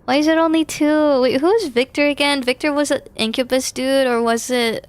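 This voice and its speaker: A woman's voice: high voice, steady tone, normal speed, no room sound. A woman game streamer, moving between reading game narration aloud and casual live chat.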